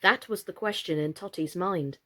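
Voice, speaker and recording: A woman reading a children's story aloud as scripted, expressive narration. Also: on the low side, moderate pace. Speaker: storyteller, reader.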